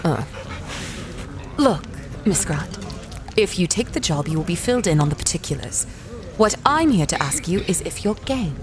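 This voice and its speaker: A woman's voice: fairly low pitch, very animated, moderate pace, pauses often, a crowd in the background. A woman playing a formal, dryly polite envoy for a wealthy employer in a 1930s adventure audio drama. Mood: cool, businesslike. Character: composed, businesslike female envoy of a wealthy employer. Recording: music behind the voice.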